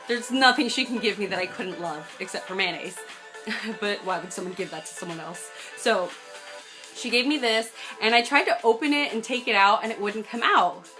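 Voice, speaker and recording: A woman's voice: mid-range voice, pushed and bright. A young adult American woman chatting casually to camera as she tells a personal story, bubbly, gushing and emphatic. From United States. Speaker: vlogger telling a personal story.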